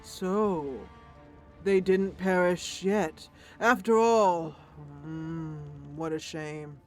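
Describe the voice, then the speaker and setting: A woman voicing a gloomy, fatalistic old male dwarf cleric for a fantasy roleplay, in a slow, resigned manner. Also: on the low side, a little mellow, soft background music. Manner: slow, ponderous, resigned delivery.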